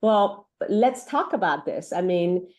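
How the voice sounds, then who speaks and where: A woman's voice: lowish voice, animated, slow pace, darkish tone. A middle-aged woman doctor who grew up in Iran and Africa, English not her first language, talking earnestly with many fillers and restarts in an interview. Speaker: pediatric doctor. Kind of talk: interview conversation.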